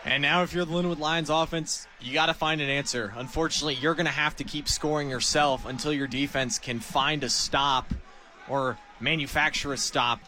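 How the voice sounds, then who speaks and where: A man's voice: fairly high pitch, steady tone, a little fast, bright, forceful tone. An American man giving live football color commentary in conversational, explanatory run-on sentences. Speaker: color commentator, game analyst.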